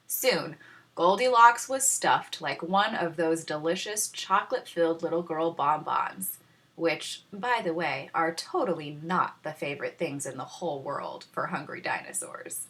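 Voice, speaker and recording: A woman teacher narrating a children's storybook in a dramatic, tongue-in-cheek way with pointed pauses. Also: on the low side, pushed and bright. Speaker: teacher reading aloud.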